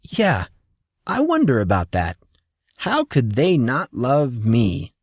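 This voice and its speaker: A man's voice: medium pitch, wildly swooping pitch, takes it slowly, slightly rough, no room sound, full character voice. A man voicing a scarred teenage boy who speaks in a dry, sarcastic way.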